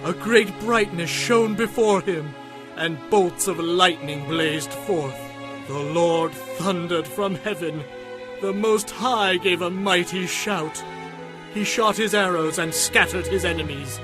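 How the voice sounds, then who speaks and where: A man's voice: sits high, slow. An actor playing a devout warrior king, reciting praise to God solemnly and fervently in a dramatized scripture reading. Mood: solemn awe. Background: music.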